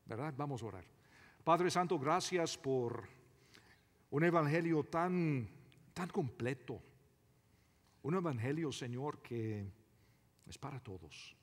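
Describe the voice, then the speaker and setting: A man preaching a sermon as a pastor, emphatic and deliberate, often repeating his phrases. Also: fairly high pitch, expressive. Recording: reverberant hall with long echo.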